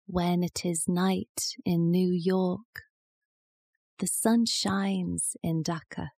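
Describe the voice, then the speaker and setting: A woman reads poetry extremely slowly, leaving each phrase space to settle. Her voice is soft-edged and mellow, slightly low in pitch. The sound is dry and close, with no room echo. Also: steady tone, gravelly. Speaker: poetry reader. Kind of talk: slow, measured poetry recitation.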